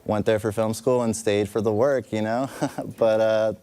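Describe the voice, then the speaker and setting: A man's voice: lowish voice, fairly even intonation, normal speed, mellow. A young man from the Bay Area, a filmmaker speaking as a guest on a live panel in an easygoing, enthusiastic, loosely worded way. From San Francisco Bay Area.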